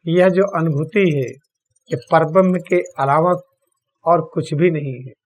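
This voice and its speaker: A man with an Indian accent leads a guided meditation, speaking solemnly and deliberately in short phrases with long pauses. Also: a little high. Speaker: spiritual teacher leading guided meditation.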